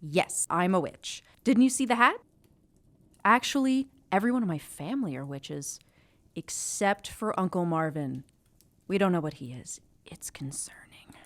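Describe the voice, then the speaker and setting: A woman's voice: on the low side, animated, measured pace. A woman playing an eager, officious and self-conscious young witch with a chipper, theatrical manner in an audio drama. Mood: sheepish.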